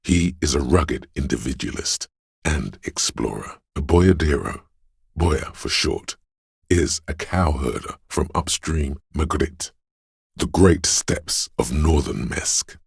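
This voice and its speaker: A man voicing a know-it-all inner voice of encyclopedic trivia in a video game, measured, pedantic and dryly wry. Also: extremely low pitch, lively intonation, vocal fry, breathy edge, no room sound, studio quality, exaggerated voice. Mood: measured, erudite.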